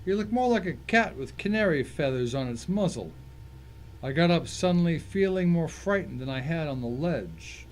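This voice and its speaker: A man's voice: a little high, lively intonation, normal speed, a little hiss or hum, low-quality recording. A man reading a scripted first-person thriller story as its narrator, in a dramatic, suspenseful way.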